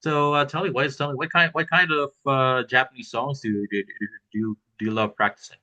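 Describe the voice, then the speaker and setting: A man hosting an interview, asking questions haltingly with repeated words. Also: mid-range voice, steady tone, sharp tone. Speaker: podcast host, interviewer. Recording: dull lo-fi mic.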